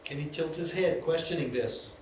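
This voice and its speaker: A man's voice: a little high, normal speed. A man reading scripted scene directions as the narrator of a live screenplay table read. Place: indoor venue, live reading. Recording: echoey room with background noise.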